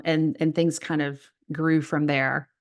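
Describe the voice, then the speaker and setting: A woman's voice: sits low, level tone, a little slow. A woman writing coach, a former professor, talking as a podcast guest, reflective and laughing easily. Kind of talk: casual interview talk.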